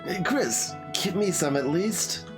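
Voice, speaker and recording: Man putting on a brash teenage monster girl, raised pitch. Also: expressive, slow, music in the background. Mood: mischievous.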